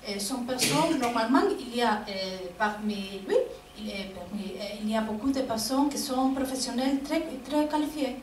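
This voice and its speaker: Woman, guest lecturer, hesitant, fillers, slightly high pitch, distant mic, echoey auditorium, faint background noise. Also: normal speed. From Spain. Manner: hesitant, many fillers, frequent tag "non?". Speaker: guest speaker, professor. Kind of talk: lecture Q&A answers.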